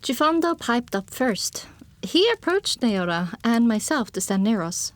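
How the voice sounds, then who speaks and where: A woman reading fiction as an audiobook narrator, in an expressive first-person storytelling style. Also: on the high side, measured pace.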